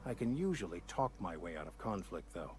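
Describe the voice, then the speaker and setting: An actor playing a smooth-talking, self-assured vicar in a video game, measured, articulate and wry. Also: male voice, sits low, very animated, a little mellow, a little hiss or hum. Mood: persuasive, confident. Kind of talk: scripted video game dialogue.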